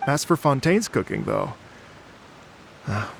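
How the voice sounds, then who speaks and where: An actor playing a polite, dreamy travelling musician in a video game, courteous, hesitant and slightly flustered. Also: male voice, mid-range voice, very animated, slow pace, vocal fry, a little hiss or hum, full character voice.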